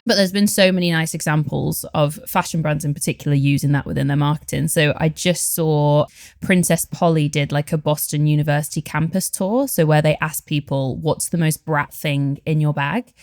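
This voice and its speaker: A woman on a podcast as a guest, talking in a relaxed, candid, reflective way. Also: low voice, normal speed, no echo, studio quality. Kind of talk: casual chat.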